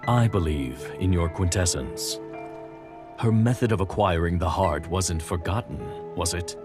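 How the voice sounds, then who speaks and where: A man's voice: deep voice, measured pace. A man playing a stern, devout sea-folk henchman who speaks formally and solemnly. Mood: stern, grave. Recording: loud music under the voice.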